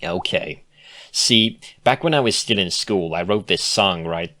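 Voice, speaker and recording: A man's voice: deep voice, unhurried, sharp tone. Male reader as female cartoon unicorn, slacker, grumbling, sardonic, defensive, reluctant, storybook dialogue.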